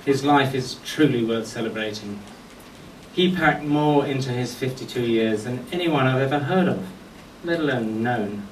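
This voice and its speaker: A man delivering a eulogy full of anecdotes, telling stories in short phrases with deliberate pauses. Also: medium pitch. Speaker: friend giving a memorial tribute. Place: golf club function venue at a memorial service. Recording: echoey distant mic with background noise.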